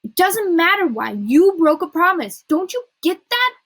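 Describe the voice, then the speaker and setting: A woman's voice: a little high, animated, moderate pace, slightly rough, no echo. A woman voicing a guarded, brainy misfit schoolgirl in an audiobook, blunt, stubborn and hurt. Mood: angry, hurt.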